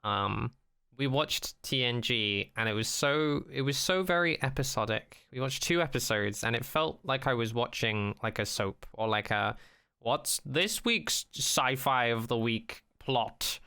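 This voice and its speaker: Bright, clear man, emphatic podcast banter. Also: medium pitch, expressive, measured pace, no room sound, clean studio sound. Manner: emphatic, comically exaggerated, blunt. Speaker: podcast co-host. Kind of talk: casual, joking chat about sci-fi television.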